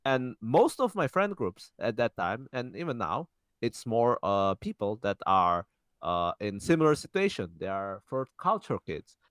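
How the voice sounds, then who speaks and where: A young man co-hosting a podcast, speaking casually in halting sentences with many restarts and fillers. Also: medium pitch, big pitch swings, a bit bright, smooth, no room sound, studio quality. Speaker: podcast co-host. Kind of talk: casual conversational chat.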